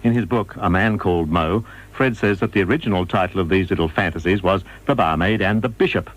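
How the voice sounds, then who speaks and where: A man's voice: sits low, brisk, poor audio. A man giving scripted documentary narration.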